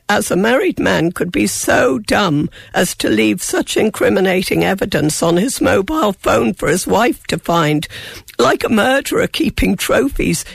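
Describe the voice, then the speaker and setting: A woman's voice: mid-range voice, gravelly, slightly rough, no echo. An Irish woman with a chesty cold, giving blunt, sarcastic relationship advice on a radio show. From Ireland. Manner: sarcastic, tart and frank. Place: radio studio.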